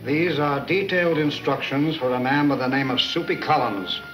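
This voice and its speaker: A man's voice: on the high side, close to an everyday voice, rough recording. Man acting cold gang mastermind, old film score behind. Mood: coldly menacing. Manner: measured, formal, commanding. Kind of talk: 1940s film serial acting. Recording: 1940s film soundtrack with music behind.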